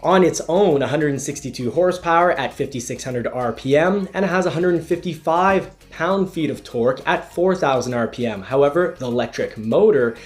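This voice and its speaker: A man's voice: on the high side, moderate pace, hardly any pauses. A man with a congested, stuffy nose giving a casual, explanatory car review from inside the vehicle, often restarting his sentences. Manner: explanatory, restarts with "you know". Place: inside a car, partly driving.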